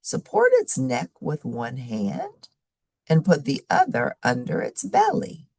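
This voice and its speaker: A woman plays a friendly storybook snake handler, a man who knows his trade. The voice is husky and swings widely in pitch, with an easygoing, folksy way of explaining things. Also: huge pitch swings, slow pace, darkish tone, no room sound, studio quality, heavily acted. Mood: easygoing, instructive.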